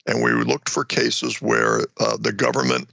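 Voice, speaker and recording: An older American man giving explanatory interview answers as an academic guest, halting and often repeating words as he goes. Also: mid-range voice, sing-song, creaky, bright, forceful tone, dry recording, studio quality. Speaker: retired war college professor, research guest.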